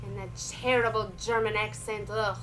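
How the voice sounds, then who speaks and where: A woman playing a feisty, stubborn elderly Italian immigrant grandmother in a comic stage monologue, speaking blunt, halting broken English with an Italian accent. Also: mid-range voice, flat delivery, fairly bright tone, lightly acted. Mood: storytelling. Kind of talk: live comedic stage monologue. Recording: distant mic, noisy live room.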